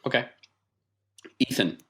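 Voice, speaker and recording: A man's voice: takes it slowly, pushed and bright. A man acting as game master, putting questions to players in a tabletop role-playing game.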